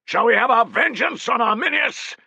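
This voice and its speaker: Guy reading as a roman centurion barking orders, big swings in pitch, dry sound. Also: animated, normal speed, sharp tone, dry recording. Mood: shouting. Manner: bellowing orders, rousing. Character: battle-hungry male Roman centurion, commanding.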